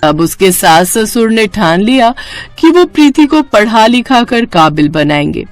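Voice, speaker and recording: Expressive woman narrator, lively pitch. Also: unhurried, dry recording. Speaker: story narrator. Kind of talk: scripted narration of a cartoon story. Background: faint music.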